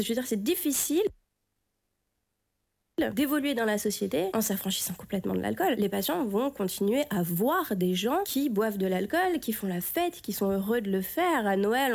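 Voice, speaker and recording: Creaky-voiced woman explaining conversationally. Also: a little high, animated, normal speed. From France. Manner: conversational, repeats and rephrases to stress points. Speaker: health practitioner being interviewed. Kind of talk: spontaneous interview explanation.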